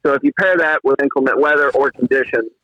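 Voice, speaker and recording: A man's voice: mid-range voice, fairly even intonation, fast talker, no room sound, lo-fi sound. A man calling in to a talk show, explaining earnestly over a phone-in line. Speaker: caller. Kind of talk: call-in radio talk.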